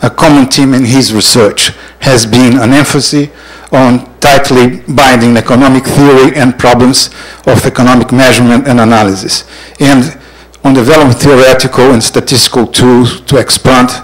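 A man's voice: medium pitch, steady tone, normal speed, low-quality recording. Middle-aged man reading an introduction. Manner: reads a list of honors with slips and restarts. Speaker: session chair introducing the speaker. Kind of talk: formal introduction read from notes. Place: large lecture hall, seated at a panel table. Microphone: handheld microphone.

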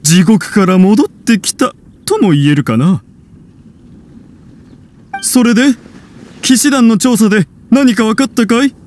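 A man's voice: high-pitched, lively intonation, unhurried, long pauses, a little mellow. A man voicing a vain, hot-headed and scornful showman in an anime video game, pompous and theatrical in delivery. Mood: smug.

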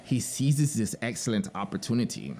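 Man acting a fanatical, wildly expressive scheming officer. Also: fairly low pitch, normal speed, a little hiss or hum. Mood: eager scheming. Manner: theatrical, urgent, swinging between hushed asides and exclamations. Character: fanatical, scheming penal colony officer, pleading and grandiose. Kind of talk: dramatic audiobook storytelling.

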